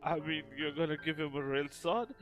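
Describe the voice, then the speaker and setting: A man's voice: a little high, level tone, brisk, over music. A man giving casual, joking, incredulous commentary while playing a game. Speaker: gaming streamer. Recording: voice distant from the mic.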